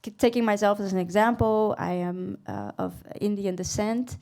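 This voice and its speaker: A woman's voice: mid-range voice, steady tone, moderate pace, darkish tone. A woman raised in the Netherlands, speaking as a panelist in a live stage discussion, thoughtful and hesitant, with many fillers. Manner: reflective, thinks aloud with many fillers and laughs. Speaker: panelist and singer. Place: event venue stage.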